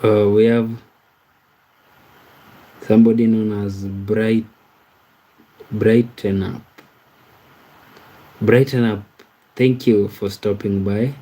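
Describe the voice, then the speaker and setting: A man from Kenya chats casually on a livestream. His voice sits low and warm, and it barely rises or falls. He reads viewer comments aloud and stumbles over his words as he answers them. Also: level tone, low-quality recording. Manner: slow, halting, repeats words and restarts while reading comments aloud. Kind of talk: casual live-stream chat.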